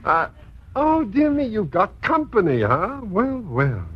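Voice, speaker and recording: Breezy, high-pitched teasing husband. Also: male voice, wildly swooping pitch, takes it slowly, husky, full character voice. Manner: breezy, mock-polite, glib. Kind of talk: 1950s radio comedy acting. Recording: noisy, muffled 1950s radio recording.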